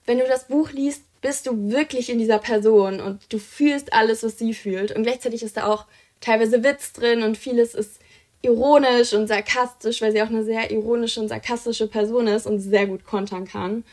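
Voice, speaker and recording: A woman's voice: fairly high pitch, fairly even intonation, moderate pace, darkish tone. A young woman co-hosting a podcast, chatting enthusiastically about books she loves. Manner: enthusiastic, chatty, many fillers. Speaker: podcast co-host presenting books. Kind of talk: casual podcast chat.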